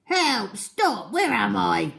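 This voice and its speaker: A woman's voice: medium pitch, sing-song, takes it slowly, creaky, fairly bright tone, heavily acted. Woman putting on a sly, greedy crocodile. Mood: pained panic. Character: huge greedy scheming male crocodile, hungry for children.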